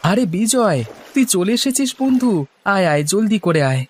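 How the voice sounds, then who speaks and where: A man's voice: high voice, lively intonation, normal speed. A man voicing a poor, hospitable village man in a cartoon, effusive and insistent. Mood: welcoming. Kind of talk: Bengali cartoon story dialogue.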